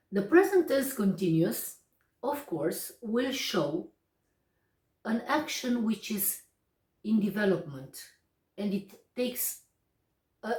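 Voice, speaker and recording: A young woman with a Romanian accent explains English grammar at an unhurried pace. She is a native Romanian speaker who pauses often and picks her words with care. She sounds set back from the mic in an echoey room. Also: mid-range voice, darkish tone, recorded from a distance. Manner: slow and deliberate, with frequent pauses and hesitations. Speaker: English teacher.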